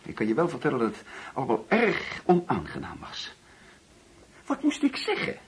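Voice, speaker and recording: Radio play father: middle-aged, bitter host, probing, very rough-edged voice. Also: mid-range voice, wildly swooping pitch, normal speed, full character voice. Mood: aggrieved, agitated. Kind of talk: Dutch radio play acting.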